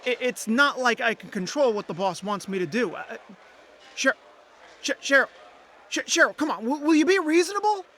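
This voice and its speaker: A man's voice: very high voice, expressive, normal speed, sharp tone, slight background noise, exaggerated voice. An actor playing an ordinary, lonely young man in an audio drama, earnest and a little hesitant. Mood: pleading, flustered. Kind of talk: audio drama dialogue and first-person narration.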